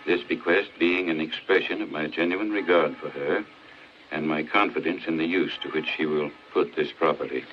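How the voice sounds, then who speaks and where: An actor playing a small-town doctor, matter-of-fact and measured, in a 1940s film drama. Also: male voice, a bass voice, steady tone. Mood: formal reading. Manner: measured, plain-spoken. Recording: muffled, hissy 1940s film soundtrack.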